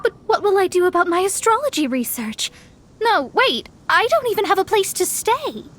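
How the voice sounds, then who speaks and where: Game role: haughty, theatrical young female astrologer, high-pitched and animated, easily rattled. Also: normal speed, heavily acted. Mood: flustered. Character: proud, dramatic, flustered young female astrologer. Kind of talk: video game voice acting.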